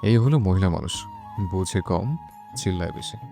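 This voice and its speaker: A man's voice: low voice, animated, moderate pace, creaky. A man playing a bossy, curt young man who is secretly in love, in a romantic audio story. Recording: soft music behind the voice.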